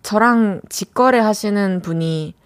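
A woman's voice: medium pitch, a little slow, dry recording. A young woman hosting a radio show, reading listener messages and chatting about them. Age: young adult. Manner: chatty, warm reactions to listener texts. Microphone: studio mic.

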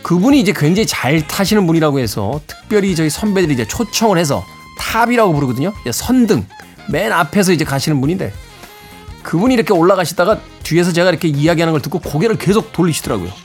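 Lively middle-aged male radio DJ over music. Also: fairly high pitch, fast talker. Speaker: radio host and DJ. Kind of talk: music radio presenting with casual personal anecdotes.

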